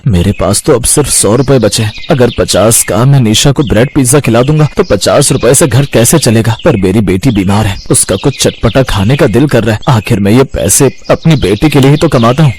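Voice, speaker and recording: A man's voice: medium pitch, animated, fairly quick, hardly any pauses, darkish tone, slight background noise, lightly acted. A man playing a poor, caring father and hopeful street seller in a moral story. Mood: pensive, worried.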